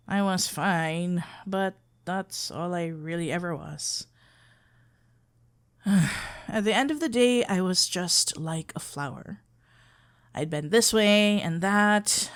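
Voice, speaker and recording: A woman playing a shy, tender florist best friend in love, talking haltingly and awkwardly in an intimate ASMR roleplay. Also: mid-range voice. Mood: wistful, confessing. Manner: halting, stammering, awkwardly earnest. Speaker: audio roleplay performer.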